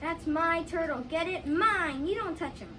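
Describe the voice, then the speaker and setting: A young female reader plays an upset fourth-grade boy in a readers theater piece. The voice is high and emphatic, quick to plead or protest. It sits away from the microphone with clear noise behind it. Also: expressive, far from the mic. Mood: possessive, defiant. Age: child reader, fourth grade. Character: upset, possessive fourth-grade boy guarding his turtle.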